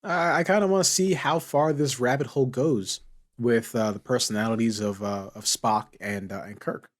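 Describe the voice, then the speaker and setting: A man co-hosting a podcast, chatting casually in an animated, questioning way. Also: mid-range voice, normal speed. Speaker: podcast co-host. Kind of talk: casual chat about a TV series.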